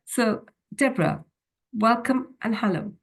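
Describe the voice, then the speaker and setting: A woman's voice: a little high, lively intonation, a little slow, vocal fry. A woman hosting an author interview over a video call, enthusiastic but hesitant, with many "uh" fillers. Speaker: host.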